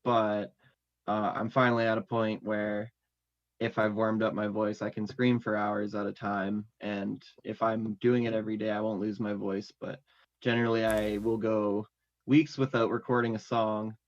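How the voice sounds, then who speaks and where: Man, lowish voice, rambling casual chat, lots of "like" and "um", dull muffled audio. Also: level tone, moderate pace. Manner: rambling, heavy on fillers like "like" and "um". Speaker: musician and vocalist, interview guest.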